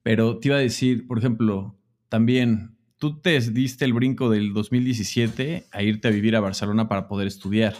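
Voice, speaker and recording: A man hosts a casual interview in a lowish voice. He is relaxed and asks meandering questions, cheering the guest on as she talks. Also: moderate pace. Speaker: host.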